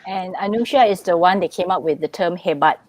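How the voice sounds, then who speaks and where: A woman's voice: on the low side, a little fast, darkish tone. A woman hosting a casual live-stream podcast launch over a video call, chatty and upbeat. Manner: chatty, upbeat, drops local particles. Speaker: podcast host.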